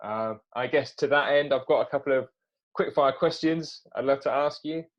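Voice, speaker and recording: A man's voice: mid-range voice, normal speed, highs cut off. A man speaking as an interview host in a conversational style.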